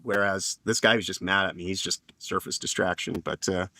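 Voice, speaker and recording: A man's voice: deep voice, fairly even intonation, fairly quick, bright, forceful tone, dry recording. A man on a casual panel stream telling a long personal story, rambling with lots of fillers and restarts.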